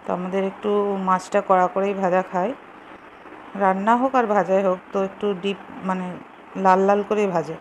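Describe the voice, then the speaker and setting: Woman narrating a recipe, clearly noisy recording. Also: medium pitch, fairly even intonation, fairly quick, muffled recording. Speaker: home cooking show host. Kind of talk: casual step-by-step recipe narration. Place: home kitchen.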